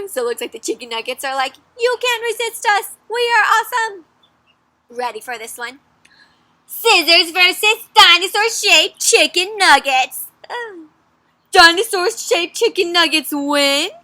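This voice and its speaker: Young woman reading a kids book aloud, high bright voice, super expressive, dry close sound. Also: dry recording. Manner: expressive, asks children questions, gasps and dramatic pauses. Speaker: storyteller reading to children. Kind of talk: animated children's read-aloud.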